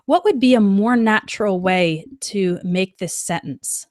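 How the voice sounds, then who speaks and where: A woman teaching an English lesson on a live stream, reading out example sentences slowly and clearly as she answers a chat. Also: medium pitch, a little mellow, dry recording, clean studio sound.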